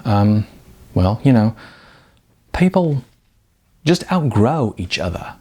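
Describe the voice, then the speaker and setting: A man's voice: lowish voice, big pitch swings, warm tone, breathy edge, heavily acted. A man as an evasive, hesitant young boyfriend. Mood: awkward. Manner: hesitant, halting, noncommittal. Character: evasive young Australian boyfriend breaking up, casual. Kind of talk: audiobook character dialogue.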